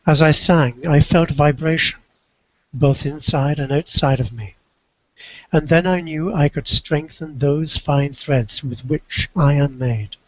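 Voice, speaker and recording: A man narrates a literary tale in the first person. His voice is husky and a little high for a man, with a measured, reflective delivery. The recording is dry and somewhat muffled. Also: no room sound. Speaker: co-reader of a book.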